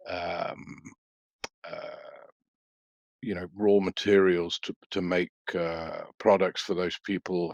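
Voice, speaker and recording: Brief: middle-aged man, very low gravelly voice, dry dull recording, hesitant expert answers. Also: no room sound. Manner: measured, hesitant with many fillers and restarts. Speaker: freight market analyst guest. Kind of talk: business interview answers.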